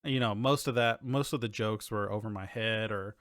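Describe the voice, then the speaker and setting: A man's voice: lowish voice, brisk, a bit bright, no echo, studio quality. A man in his thirties chatting casually as a podcast host, informal and conversational, often thinking aloud. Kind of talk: casual chat about TV and games.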